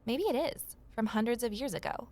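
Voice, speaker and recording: An actress playing a determined, resourceful amateur sleuth in an audio drama, brisk and persuasive as she narrates and talks. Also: on the high side, lively intonation, dark tone, close to an everyday voice. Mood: urgent, observant. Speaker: narrator and lead character.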